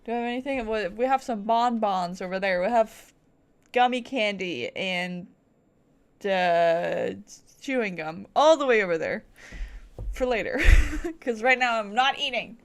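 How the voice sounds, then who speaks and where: A woman livestreaming a video game, chatting casually to viewers in a playful, rambling, giggly way. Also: medium pitch. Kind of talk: casual livestream chat with viewers while gaming.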